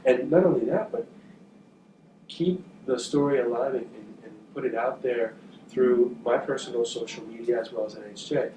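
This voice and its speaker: A man's voice: mid-range voice, mellow. Man speaking in an echoey room. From northern New Jersey, USA. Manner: thoughtful, halting, with frequent fillers and repeated words. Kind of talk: talk to journalism students. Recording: echoey, distant, with background noise.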